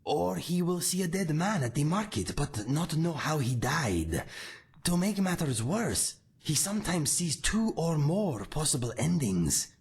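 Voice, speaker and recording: A raspy cat-folk swordsman. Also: fairly high pitch, sing-song, heavily acted. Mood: earnest. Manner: measured, formal and warm-hearted. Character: calm male cat-folk swordsman, earnest and loyal. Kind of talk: game character dialogue.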